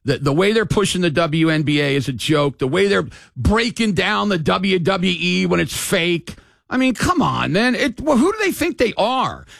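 Animated gravelly older man on sports radio. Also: sits high, moderate pace, no echo, clean studio sound. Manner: opinionated, animated, rambling. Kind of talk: casual sports talk radio.

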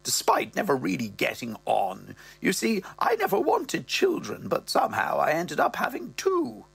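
A man voicing a posh, blunt, tipsy elderly mother, imperious and scandalised, with long drawn-out words. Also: high voice, huge pitch swings, creaky, fairly bright tone, breathy edge, pitched above the performer's own voice, heavily acted. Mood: haughty, droll.

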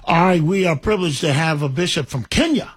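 A man hosting a radio show, chatting in a lively, animated way with a guest. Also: a little high, brisk, slightly rough. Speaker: radio host. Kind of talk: casual radio chat.

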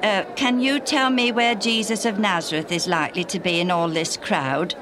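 A woman voicing a calm, knowledgeable man explaining things, in a story reading. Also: medium pitch, normal speed, background noise, voice pushed up, close to an everyday voice.

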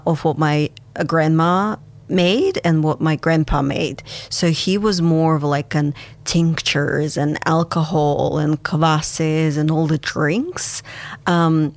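A woman speaks as an interview guest. Her voice sits very low and she talks slowly. She thinks aloud as she goes, with plenty of fillers and restarts. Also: no room sound. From Kazakhstan and Russia. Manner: reflective, unhurried, with many fillers and restarts. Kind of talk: conversational interview answers.

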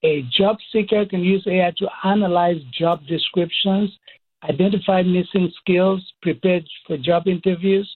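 A man with a Cameroonian accent explaining things as an expert interview guest, deliberately, listing points in short phrases. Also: high-pitched, fairly even intonation, slightly rough. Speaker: expert guest, professor and AI researcher. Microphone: phone call. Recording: muffled, thin phone line.

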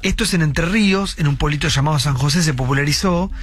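A man's voice: a little high, quick pace. A man with an Argentine Spanish accent chatting casually on a radio show, telling a story with many fillers. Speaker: radio co-host. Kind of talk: casual studio chat.

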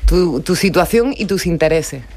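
A woman's voice: lowish voice, animated, moderate pace, a little mellow. A woman radio host presenting and interviewing in a conversational style.

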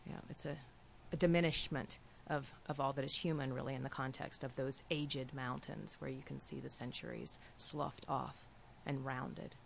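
Low voice woman w a light appalachian accent, measured and lyrical, faint room noise. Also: fairly even intonation, creaky. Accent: softened Appalachian. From Appalachian region of the US. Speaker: writer on a panel. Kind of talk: reflective panel talk.

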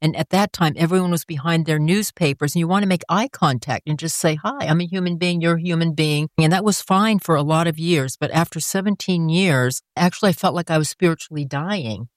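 A woman's voice: low-pitched, a little fast, hardly any pauses, no room sound, clean studio sound. Older woman recalling her life in interview. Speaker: interviewee, author. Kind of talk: reflective interview storytelling.

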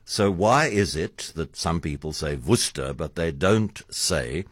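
Man, South African accent, radio host, playful, low voice, dry studio sound. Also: unhurried, no echo. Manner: animated, chuckling, playful. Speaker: radio talk show host. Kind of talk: casual radio chat. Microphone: studio microphone.

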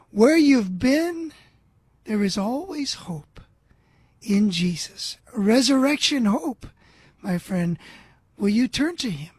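Male religious radio host, husky and unhurried, dry studio sound. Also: high voice, dry recording. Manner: warm evangelistic appeals, addresses listener as my friend.

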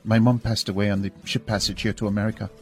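A man's voice: lowish voice, little pitch movement, fast talker, faint music behind, natural voice. A man voicing a friendly young German immigrant woman who speaks slightly broken English with a German accent in a story. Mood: friendly, chatty. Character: young German immigrant woman, friendly, startled, church-going bank teller.

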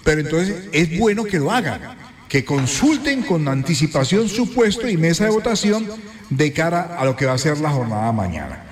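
Male morning radio host, chatty and animated, a bit higher voice, echoey studio. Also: normal speed.

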